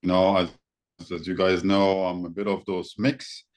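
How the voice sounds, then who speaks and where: A man, a native speaker of Arabic and Italian, presenting in an online session over a video call, relaxed and hesitant with fillers. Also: low-pitched, normal speed.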